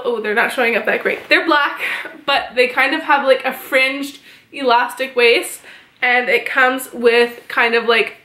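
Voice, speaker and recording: Young woman, in her twenties, stuffy nose, chatty and upbeat, high voice, echoey bedroom. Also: bright, forceful tone. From Canada. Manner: chatty, upbeat, uses "like" and "kind of" a lot. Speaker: vlogger. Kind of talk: casual haul vlog. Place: small bedroom, indoors.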